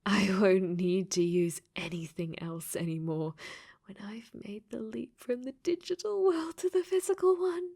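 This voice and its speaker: A woman voicing a clingy, possessive dating-sim AI in a robot body for an ASMR roleplay, sweetly menacing and breathy, often dropping to whispers. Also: mid-range voice, a little slow, warm tone. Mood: smug, playful. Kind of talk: ASMR audio roleplay acting.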